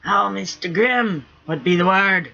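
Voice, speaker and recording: Woman putting on a high-pitched toothless pirate rigger. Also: measured pace, gravelly, pushed and bright, exaggerated voice. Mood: deferential. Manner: old-fashioned sailor's pirate talk. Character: grimy, toothless, legless male pirate rigger, protesting loudly. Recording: dull, lo-fi sound.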